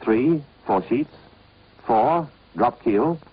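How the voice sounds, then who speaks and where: A man's voice: medium pitch, lively intonation, slow, slightly rough. A British man narrating an instructional training film in a clipped, scripted, instructive manner. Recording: dull, band-limited old film soundtrack.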